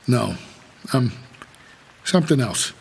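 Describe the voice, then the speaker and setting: An American actor playing a weary, guarded supernatural driver who narrates an audio drama in terse, measured noir style. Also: male voice, mid-range voice, lively intonation, vocal fry. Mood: calm, reassuring.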